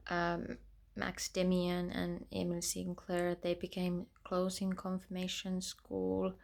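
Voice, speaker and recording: Woman with a creaky voice. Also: medium pitch. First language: Finnish. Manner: chatty and reflective, drops to soft near-whispers. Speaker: book vlogger. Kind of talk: casual reading vlog.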